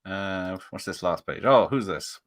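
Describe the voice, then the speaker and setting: A man's voice: sits low, a little slow, a crowd in the background. A man hosting a casual chat, throwing in short, jokey remarks. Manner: jokey, brief interjections. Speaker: host.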